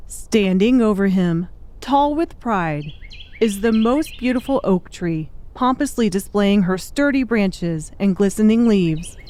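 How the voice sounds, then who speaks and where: A woman's voice: mid-range voice, expressive, measured pace, mellow. A woman reading scripted, dramatic audiobook narration.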